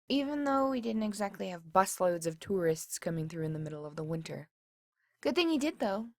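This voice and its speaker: A woman's voice: mid-range voice, no echo, natural voice. A woman playing a chatty, cheerful small-town motel desk clerk, rambling in a folksy, bubbly way through a diary. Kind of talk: acted diary reading.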